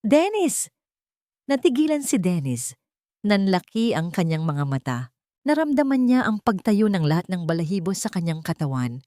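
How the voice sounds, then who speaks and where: A woman's voice: fairly low pitch, big pitch swings, normal speed, mellow, no echo, studio quality. A woman narrating a scripted audiobook story in a dramatic style.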